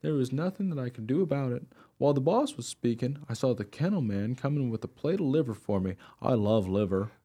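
A man's voice: mid-range voice, animated, brisk, few pauses, warm tone. A man playing an honest pet dog who tells his own tale in a radio play, earnest and plain-spoken. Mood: wry, puzzled. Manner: plain-spoken, artless storytelling. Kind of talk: first-person radio play narration.

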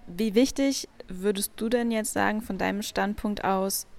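A woman interviewer asking artists questions and introducing them in a calm, conversational way. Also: medium pitch, moderate pace, creaky. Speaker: interviewer, reporter.

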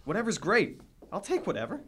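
A man's voice: high voice, huge pitch swings, fairly quick, fairly bright tone, a little hiss or hum, heavily acted. A young male actor playing a sarcastic but reasonable teenage boy in a radio drama, talking in casual slang. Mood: exasperated. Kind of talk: youth radio drama acting.

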